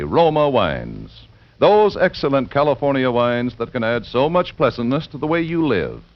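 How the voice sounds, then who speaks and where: A man announcing a commercial in 1940s radio style, polished and emphatic. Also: medium pitch. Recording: dull 1940s radio recording.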